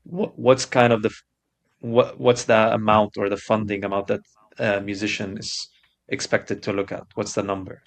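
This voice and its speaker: A man with a slightly low voice co-hosts a podcast. He puts questions to a guest and reacts in an easy conversational style, as in an informal interview. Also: level tone, normal speed, vocal fry.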